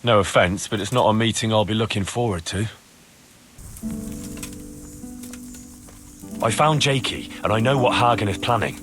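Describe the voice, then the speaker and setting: A man's voice: lowish voice, fast, music in the background, lightly acted. An actor playing a blunt, wary young man with a dryly sardonic manner in a video game. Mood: dry, matter-of-fact. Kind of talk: acted video game cutscene dialogue.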